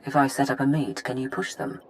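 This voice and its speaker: A brisk female intelligence officer with a low voice. She is dutiful and pragmatic, briefing her commander in a sci-fi video game. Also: level tone, low-quality recording. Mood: pragmatic, confiding.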